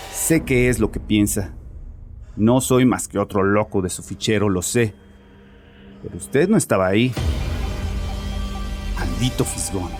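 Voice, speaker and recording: A man acting a tormented father with a low voice, over clear background music. He confesses in a horror audio drama, guilt-ridden and defensive. His delivery is haunted and halting, edged with agitation. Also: natural voice. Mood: agitated, desperate. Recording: music behind the voice.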